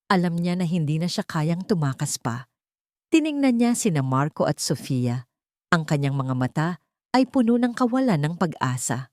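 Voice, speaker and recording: A woman's voice: low-pitched, warm tone, no echo, studio quality. A woman narrating a scripted story in a dramatic audiobook style.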